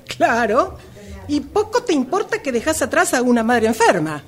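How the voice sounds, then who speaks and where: A woman acting a high-pitched, reproachful Argentine sister. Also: sing-song, normal speed, faint music behind. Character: resentful married sister, mother of two, reproachful. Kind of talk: amateur radio drama acting.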